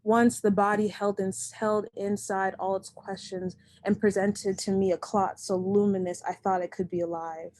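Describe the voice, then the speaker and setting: A young woman reads her poetry at home over a computer mic, her voice sitting at an ordinary middle pitch. She recites slowly and deliberately and gives each line its weight. Between poems she drops into loose, chatty remarks. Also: flat delivery, darkish tone. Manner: slow, measured, deliberate recitation. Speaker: poet and host of the reading. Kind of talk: poetry reading.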